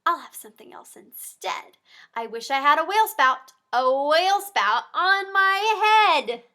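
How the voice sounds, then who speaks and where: Bright, lively woman reading to kids. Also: extremely high pitch, a little slow. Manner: animated, talks directly to young listeners. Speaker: pediatric therapist. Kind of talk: reading a picture book to children.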